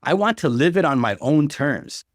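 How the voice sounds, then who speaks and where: A defiant young woman acted out by a male narrator in a dramatic story reading. The delivery is emphatic and exclaiming, with wide swings in pitch and a dry, close sound. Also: lively intonation, normal speed, no room sound, natural voice. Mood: indignant. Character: defiant, upset young woman fighting for independence.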